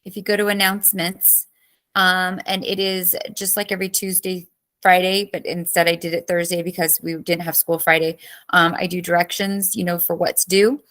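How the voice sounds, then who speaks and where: A woman's voice: on the low side, little pitch movement, moderate pace, a bit bright. A woman in her thirties teaching a live online class, chatty and greeting students by name, with her voice cracking as she loses it.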